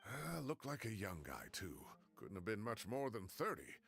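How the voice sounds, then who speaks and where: An actor playing a fussy, grumbling older professor in a video game, indignant and blustering. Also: male voice, on the low side, huge pitch swings, moderate pace, vocal fry, a bit bright, full character voice. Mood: sighing, regretful.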